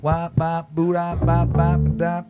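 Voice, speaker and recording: A man's voice: a little high, completely flat delivery, slow pace, over loud music. Joking man who scats between chatter. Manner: loose, joking, breaks into nonsense scat syllables. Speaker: host of a live music stream. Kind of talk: casual chat with scat singing.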